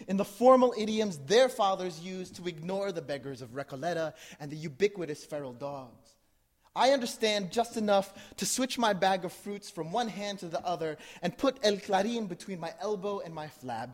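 A man from New Jersey, middle-aged, talks to a live room in a loose, conversational way, asking the audience questions. His voice sits a little high. When he moves into his poem, the delivery becomes measured and builds in intensity. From New Jersey, USA. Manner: conversational, asks the audience questions, then recites with rising intensity. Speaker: spoken word poet. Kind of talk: live poetry reading with talk to audience.

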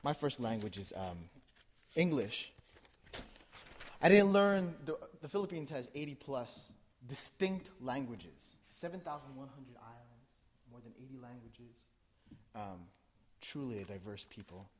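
A man's voice: medium pitch, expressive, a little slow, a little hiss or hum. A middle-aged man from New Jersey who chats casually with a live audience, then performs a spoken word poem with rising intensity. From New Jersey, USA. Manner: conversational, asks the audience questions, then recites with rising intensity. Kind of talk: live poetry reading with talk to audience.

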